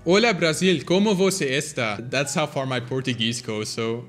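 Chatty young man, quick delivery. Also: mid-range voice, a bit bright, soft background music. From Belgium. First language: Flemish. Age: young adult. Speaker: channel host. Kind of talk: casual Q&A answering viewer questions. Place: small home room, indoors. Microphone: fixed camera, seated at desk.

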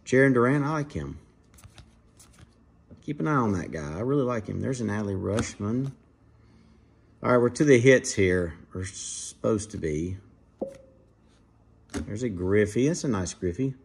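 A man casually commenting to viewers while opening trading card packs at a dining table at home, in a relaxed, chatty way. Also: lowish voice, a little slow, gravelly, pauses often, a little hiss or hum. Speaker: card breaker, channel host.

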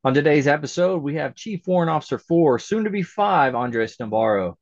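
A man's voice: a little high, a little fast, gravelly, no room sound, highs cut off. Upbeat chatty man hosting a podcast. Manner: upbeat, chatty, animated when promoting. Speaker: podcast host. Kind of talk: casual interview. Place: indoor room with a virtual backdrop. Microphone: webcam video call.

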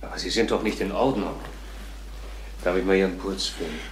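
Male actor as helpful detective, fast delivery, matter-of-fact, informal, crime radio play, distant from the mic, faint noise. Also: low voice, fairly even intonation, lightly acted. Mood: matter-of-fact, concerned. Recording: roomy, distant, faintly noisy.

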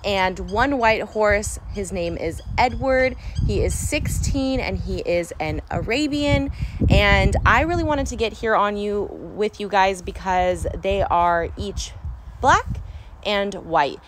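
A woman's voice: mid-range voice, expressive, slow, background noise. Chatty young woman vlogging from a barn. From San Diego, California. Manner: chatty, enthusiastic, repeats "super important". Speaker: horse owner vlogger. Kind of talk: casual vlog narration. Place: outdoor horse barn.

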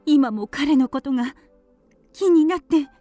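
Woman playing earnest, high-voiced little canary. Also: moderate pace, warm tone, no room sound, soft background music, natural voice. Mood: yearning. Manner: polite, wistful, emotive. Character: earnest, devoted female canary telling her life story. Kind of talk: audio drama storytelling.

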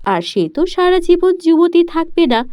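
A woman narrates children's cartoon fairy tales from a script. Her voice is high and very expressive in pitch, recorded dry and close. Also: measured pace, no room sound.

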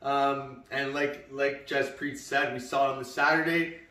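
A man's voice: medium pitch, little pitch movement, measured pace, bright, forceful tone. A middle-aged man, a realtor, speaking in a friendly, upbeat way with some fillers. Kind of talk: casual chat to camera. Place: empty house living room, indoors. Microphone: camera several feet away. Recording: echoey room, distant from mic.

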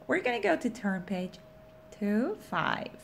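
Slow, lively high-voiced woman teacher. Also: vocal fry, background noise. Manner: patient, prompting and repeating simple phrases. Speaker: reading teacher. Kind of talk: read-aloud lesson with a young child.

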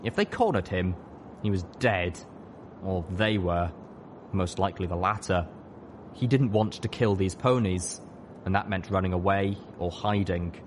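A man's voice: low-pitched, unhurried, slight background noise. A man reading fiction aloud as an audiobook narrator, in a dramatic scripted style.

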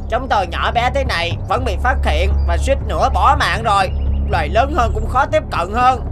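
A woman's voice: mid-range voice, lively intonation, moderate pace, close to an everyday voice. A woman voicing a small, loyal dragonfly scout in a cartoon, earnest and eager in a youthful way. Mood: weary, reporting. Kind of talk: cartoon dub acting. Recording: loud music behind the voice.

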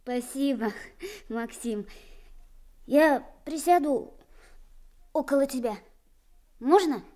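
A kind, curious little boy in a radio play. The voice is high and mellow, speaking slowly with earnest innocence. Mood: caring. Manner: innocent, earnest, simple questions. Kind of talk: Soviet radio drama acting.

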